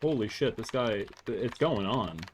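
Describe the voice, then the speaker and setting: A man doing low-key, casual game commentary and reading text aloud, sometimes halting over unfamiliar words. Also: slight background noise. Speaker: gaming video host.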